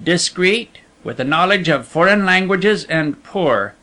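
A man's voice: high-pitched, unhurried, exaggerated voice. A man doing a cool, self-assured adventurer. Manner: calm, assured, polite.

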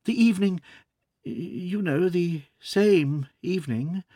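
A man's voice: high voice, slow pace, dark tone, no room sound, clean studio sound, voice pushed up. A man voicing a naive young daughter. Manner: naive, earnest.

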